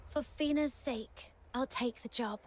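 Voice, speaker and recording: A woman voicing a composed, practical young hunter in a video game, measured and matter-of-fact. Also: high-pitched, slight background noise. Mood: calm, businesslike. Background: background music.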